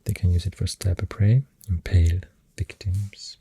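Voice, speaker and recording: Breathy, very low Swedish-accented ASMR voice. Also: steady tone, normal speed. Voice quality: soft, breathy, whispery. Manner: hushed, hesitant, gentle rambling delivery drifting into whispers. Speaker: ASMR artist, host. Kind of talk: soft-spoken ASMR, rambling facts while drawing. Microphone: close ASMR microphone. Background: pencil writing and clicking sounds.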